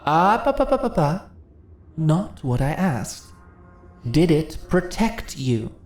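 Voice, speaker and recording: A woman's voice: low voice, big pitch swings, slow, darkish tone, faint music behind, exaggerated voice. A woman playing a sly, commanding immortal dungeon mistress in a fantasy ASMR roleplay, teasing and condescending in a theatrically persuasive way. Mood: exasperated, patronizing. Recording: echoey room with faint noise.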